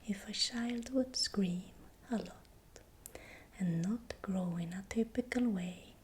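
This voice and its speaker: A woman narrating in ASMR style in a breathy, unvoiced whisper, speaking softly and deliberately. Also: mid-range voice, slight background noise. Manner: slow, deliberate, repeats words softly. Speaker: ASMR creator narrating folklore.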